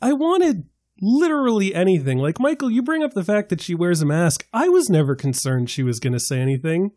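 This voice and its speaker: A man's voice: high voice, fairly quick, no room sound, clean studio sound. A man on a film podcast, animatedly arguing a minority opinion with examples. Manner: animated, argumentative, builds his case with examples. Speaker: podcast panelist. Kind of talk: casual film discussion.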